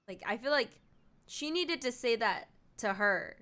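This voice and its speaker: A woman's voice: fairly high pitch, lively intonation, a little slow, background noise. A woman streaming a story game, giving casual, reflective reaction commentary as she thinks aloud.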